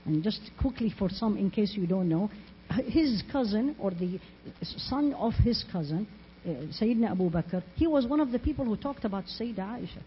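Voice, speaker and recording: A woman from Iraq gives an Islamic talk as a preacher, telling stories earnestly and emphatically with repeated phrases and rhetorical questions. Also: on the high side. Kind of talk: religious talk with storytelling. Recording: muffled lo-fi audio with faint noise.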